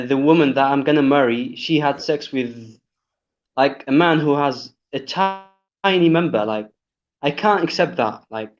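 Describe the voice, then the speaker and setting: A man in his twenties from Algeria, calling in to a live stream in halting English, with lots of fillers and restarts. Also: a little high. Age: 20s. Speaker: caller. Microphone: online call. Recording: lo-fi call audio.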